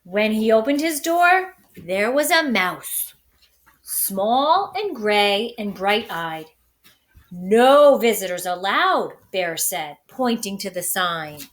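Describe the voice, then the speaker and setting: A woman's voice: medium pitch, expressive, slow pace, a bit bright. A woman reading a picture book aloud expressively, speaking to young listeners with dramatic emphasis on words. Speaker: storybook reader for children. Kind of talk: expressive children's read-aloud.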